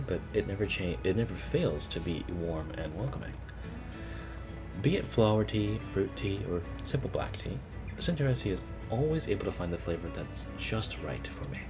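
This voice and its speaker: A man reading game story text aloud as expressive first-person narration. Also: low-pitched. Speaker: video creator reading game dialogue aloud. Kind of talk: expressive read-along narration.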